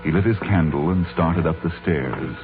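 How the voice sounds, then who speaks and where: An actor as a dramatic, measured narrator telling a ghost story in 1940s radio style. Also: male voice, low voice, close to an everyday voice. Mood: dramatic, suspenseful. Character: grave, dramatic male storyteller of a ghost tale. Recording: narrow-band 1940s radio recording. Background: music.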